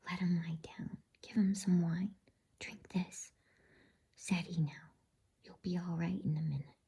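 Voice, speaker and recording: Kindly creature rescuers from a storybook, whispered by a woman reader, chatty and plain-spoken. The voice is very breathy and mellow, kept to a hush. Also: fairly low pitch, steady tone, a little slow, exaggerated voice. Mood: kind, steadying.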